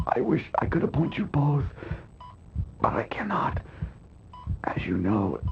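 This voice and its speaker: A man playing a dying old emperor in a game cut-scene, weary and solemn, with a weak, breathy, halting voice. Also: fairly low pitch. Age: older. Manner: halting, laboured, grave. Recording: muffled game audio with hum.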